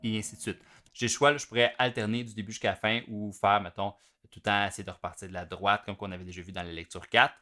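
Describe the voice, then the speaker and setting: A man with a Quebec French accent, a drum teacher giving an informal, explanatory lesson in which he pauses to play between phrases. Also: fairly low pitch, flat delivery, sharp tone. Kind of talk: instructional video lesson.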